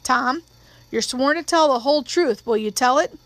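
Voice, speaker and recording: A woman voicing a calm middle-aged male defence lawyer in an audiobook, with measured, direct questioning. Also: sits high, no room sound. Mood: measured, probing.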